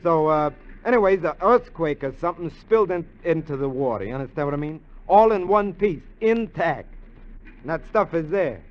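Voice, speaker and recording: Man acting a high-voiced, eager American small-time crook. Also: expressive, moderate pace, close to an everyday voice. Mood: excited, persuasive. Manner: slangy, wheedling, talkative. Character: eager, scheming small-time male burglar sidekick. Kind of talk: 1950s radio drama acting. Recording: dull vintage radio recording.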